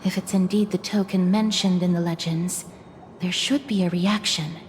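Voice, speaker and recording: A woman playing a gentle, solemn and polite young woman in a fantasy video game, soft-spoken and measured in a formal way. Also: on the low side, steady tone, big-room sound, slight background noise, lightly acted. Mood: calm, thoughtful. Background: background music.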